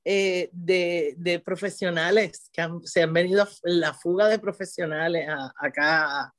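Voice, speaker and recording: A woman's voice: lowish voice, fairly even intonation, unhurried. Middle-aged Puerto Rican woman, teacher guest, loose talk full of restarts and asides. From Puerto Rico. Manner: restarts often, frequent "¿verdad?" tag. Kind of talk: conversational interview.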